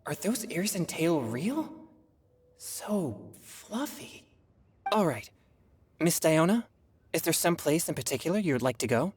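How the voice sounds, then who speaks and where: An earnest teenage boy in a game cutscene. The voice is low and husky, with courteous, hesitant phrasing and expressive inflection. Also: a little slow, pauses often, heavily acted. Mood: earnest, humble. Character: polite, earnest, reserved teenage boy exorcist. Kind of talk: scripted game cutscene acting.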